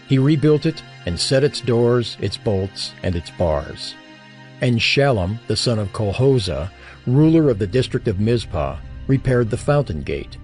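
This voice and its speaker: A man narrating scripture from a script in a solemn, dramatic style with measured phrasing. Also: medium pitch, darkish tone. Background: background music.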